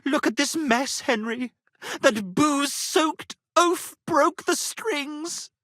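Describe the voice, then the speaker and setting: A man voicing a pompous, flattering, melodramatic medieval minstrel in a video game, with florid, grandiose delivery. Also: extremely high pitch, slow pace, no room sound, studio quality, heavily acted. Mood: pleading. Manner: florid, grandiose, declamatory, swinging between rapture and despair. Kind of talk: theatrical video game character acting.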